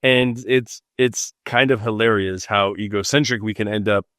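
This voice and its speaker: Low-voiced, relaxed man. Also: moderate pace, no echo, clean studio sound. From Los Angeles, United States. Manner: relaxed, joking, loose conversational talk. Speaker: guest, psychic and mentor. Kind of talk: casual podcast interview.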